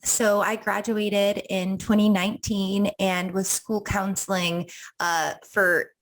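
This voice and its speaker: Young woman, from Rhode Island, counselor, thoughtful, ums and restarts, mid pitch. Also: level tone, slow pace, poor audio. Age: young adult. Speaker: counselor and wellness coach. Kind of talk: interview guest storytelling.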